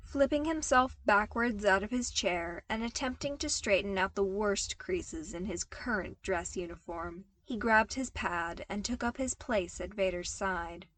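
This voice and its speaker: A woman narrates a piece of fiction. Her pitch sits in the middle for a woman, and she reads from a script as a storyteller. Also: a little slow, hardly any pauses, fairly bright tone. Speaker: podfic narrator.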